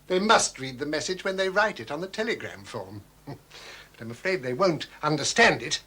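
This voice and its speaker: A scheming, patronising shopkeeper. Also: male voice, fairly high pitch, animated, moderate pace, bright, forceful tone, full character voice. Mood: coaxing. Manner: patronising, smooth-talking. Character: scheming shopkeeper man feigning kindness. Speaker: villain.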